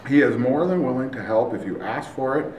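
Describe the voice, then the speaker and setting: A man's voice: mid-range voice, animated, fairly quick, a little mellow, a little hiss or hum. Middle-aged man in a roomy office. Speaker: Spanish teacher. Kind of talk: reading reviews aloud and reacting casually. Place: small indoor office. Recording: roomy, distant mic, faint music.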